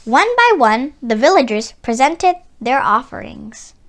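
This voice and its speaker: A woman reading a children's story aloud in an expressive, deliberate storytelling way. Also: high voice. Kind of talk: read-aloud storybook narration.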